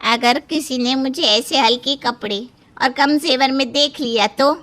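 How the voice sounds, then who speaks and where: A woman's voice: sits high, measured pace, fairly bright tone. A woman voicing a fussy, stubborn aunt with an emphatic manner for a cartoon story. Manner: emphatic, repetitive refusing.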